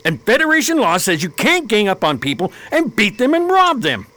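Space expedition major, hot temper, commanding, fairly high voice, very animated, radio drama. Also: male voice, moderate pace, heavily acted. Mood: angry, insistent. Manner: blunt, commanding.

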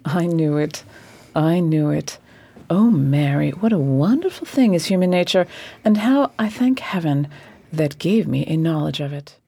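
A shrewd lady detective with a lowish voice, played by a woman in a period radio drama. She is formal, self-assured and unsentimental, speaking in stern commands. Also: animated, a little slow, vocal fry, warm tone, breathy edge, heavily acted. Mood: triumphant.

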